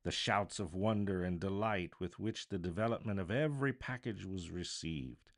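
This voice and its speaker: A man reading a classic story aloud as a voice artist, with theatrical, expressive narration. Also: low-pitched, moderate pace. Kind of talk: dramatic live audiobook narration of a classic novel.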